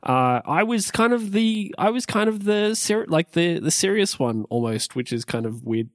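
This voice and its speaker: A man's voice: high-pitched, sing-song, moderate pace, no echo, clean studio sound. A man chatting casually as a podcast co-host, rambling with lots of fillers and restarts. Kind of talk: casual chat.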